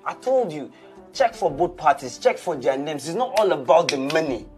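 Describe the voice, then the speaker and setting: A man's voice: fairly high pitch, lively intonation, normal speed, over music, natural voice. An actor playing an angry young male singer who scolds his manager. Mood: furious. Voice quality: exasperated, scolding. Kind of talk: TV comedy sketch acting.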